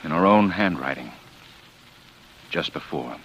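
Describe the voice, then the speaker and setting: A grave, calculating husband in a 1940s radio thriller. His very low voice moves slowly and haltingly, with wide dramatic swings. A faint noise runs through the old recording. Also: expressive, a bit bright, husky, dull audio, heavily acted. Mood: solemn, heavy. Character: cold, menacing husband feigning grief over his wife.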